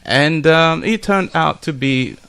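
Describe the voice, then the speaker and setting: A soft-spoken man appears as a guest on a radio show. His voice is smooth and resonant, and he talks quietly and hesitantly, in a reserved way. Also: a little high, slow. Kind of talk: radio talk show testimony. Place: radio studio.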